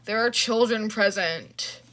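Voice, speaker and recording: A woman's voice: mid-range voice, slow pace, a bit bright. A woman voicing an exasperated, melodramatic teenage boy who complains, in a fan fiction audio story. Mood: disgusted, exasperated. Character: exasperated, dramatic, easily disgusted teenage boy.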